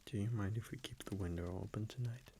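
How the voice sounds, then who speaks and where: A man playing a tender, teasing vampire boyfriend in an intimate ASMR audio roleplay, soft-spoken and breathy, with slow, lingering pauses. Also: fairly low pitch, a little hiss or hum, natural voice. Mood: cozy, content. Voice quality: breathy, whispery.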